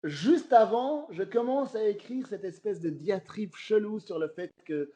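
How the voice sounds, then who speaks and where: Animated Belgian-accented man telling stories. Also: high voice, unhurried. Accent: Belgian French. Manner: animated, laughs often, many fillers. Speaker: guest comedian. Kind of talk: casual podcast storytelling.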